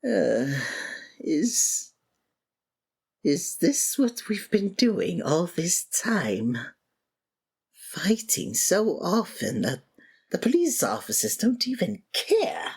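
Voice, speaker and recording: A woman acts out a supervillain in an ASMR audio drama, weary yet theatrical. The voice sits low and husky and moves at an unhurried, slow pace. The delivery is sarcastic and taunting, a grand monologue to a captive rival. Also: wildly swooping pitch, darkish tone, heavily acted. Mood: dawning, deflated. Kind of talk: scripted ASMR roleplay acting.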